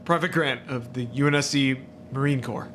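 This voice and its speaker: A man playing a frightened marine private in a sci-fi audio drama, slangy and halting. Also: steady tone, close to an everyday voice. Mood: uneasy recounting. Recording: rumbling background noise.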